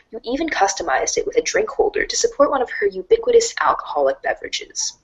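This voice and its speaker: A woman voicing a wry, mock-grandiose storybook narrator in a dramatic read-along style, deadpan and sardonic. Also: medium pitch, vocal fry, low-quality recording. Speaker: narrator of a fan readers' theater show.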